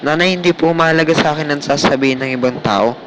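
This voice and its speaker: Stubborn, higher-voiced Filipino son-in-law acted by a man. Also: fast, a bit bright, natural voice, low-quality recording. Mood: defensive, polite. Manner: polite, reasoning, defensive. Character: thrifty, practical young son-in-law, polite but stubborn. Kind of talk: Filipino radio drama acting. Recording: faint background noise.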